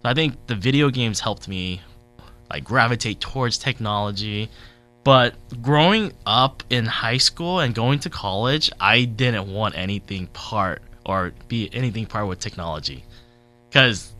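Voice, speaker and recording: A man's voice: on the low side, animated, unhurried, bright, forceful tone. A man in his thirties, born in Vietnam and raised in Southern California, chatting as an interview guest in a rambling, easygoing way with lots of fillers. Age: 30s. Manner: rambling, chatty, laughs easily, many fillers. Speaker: interview guest, technology consultant. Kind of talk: casual interview.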